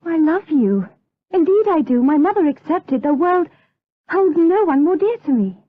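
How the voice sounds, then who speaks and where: Innocent, high-voiced gothic maiden. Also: female voice, big pitch swings, normal speed, narrow, tinny audio. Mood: earnest, tender. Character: innocent, devout, trusting young Spanish maiden, devoted daughter. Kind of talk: gothic radio drama acting.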